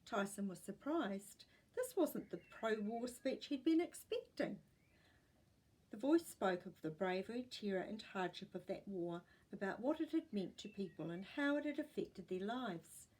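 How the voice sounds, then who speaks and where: A woman with a New Zealand accent reading a children's story aloud in an expressive storytelling style. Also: medium pitch, a little slow, breathy edge, rough recording.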